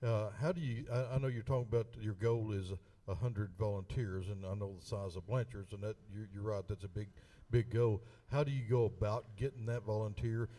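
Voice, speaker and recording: A man's voice: lowish voice, steady tone, a little fast, hardly any pauses, dark tone, recorded from a distance. A man judging a youth contest, folksy and stumbling over his restarts as he asks his question. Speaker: contest judge.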